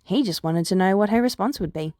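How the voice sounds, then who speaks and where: A woman's voice: mid-range voice, brisk, darkish tone, dry recording, studio quality. A woman narrating written fiction as an audiobook-style reader. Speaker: podfic reader.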